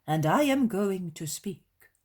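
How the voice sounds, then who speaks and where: A woman's voice: medium pitch, animated, darkish tone. A woman voicing a stately old male synagogue leader in a religious story, formal and respectful. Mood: respectful, measured. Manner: formal, deliberate. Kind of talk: dramatised religious story reading.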